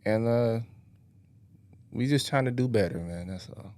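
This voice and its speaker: A man in his thirties co-hosting a podcast in relaxed, slangy casual chat, trailing off with fillers. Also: mid-range voice, a little slow, no echo. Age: 30s. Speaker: podcast co-host.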